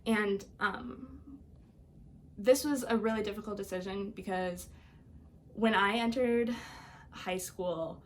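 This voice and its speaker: A woman's voice: medium pitch, slow pace, pauses often, slight background noise. A young woman whose first language is Albanian, a podcast guest telling her own story in a casual way, with lots of fillers and frequent laughs. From Iowa, United States. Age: young adult. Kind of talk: personal storytelling.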